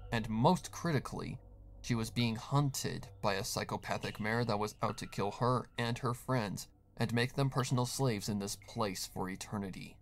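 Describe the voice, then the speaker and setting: A man narrating a scripted horror story in a dramatic reading style. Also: on the low side.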